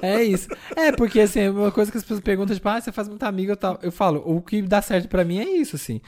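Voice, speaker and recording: A man, co-hosting a podcast in animated, chatty conversation full of fillers. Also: high voice, fast. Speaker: podcast co-host. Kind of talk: casual chat.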